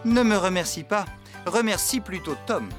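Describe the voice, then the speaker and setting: Animated, eager cartoon farmhand man. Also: high voice, moderate pace, music in the background. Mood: pleased, modest. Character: cheerful, eager farmhand man, proud and helpful. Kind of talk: children's cartoon dubbing.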